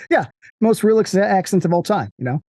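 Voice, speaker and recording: American man, mellow dry voice. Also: sits high, normal speed, no room sound. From United States. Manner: animated, profane, slips into mock voices. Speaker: podcast guest. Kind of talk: casual movie discussion.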